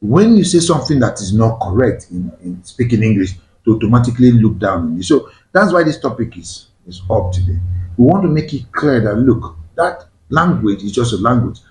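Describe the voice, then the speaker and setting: Male panel host, native Igbo speaker, emphatic and animated, lively pitch, recorded in an echoey room. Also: a little fast. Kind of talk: panel discussion.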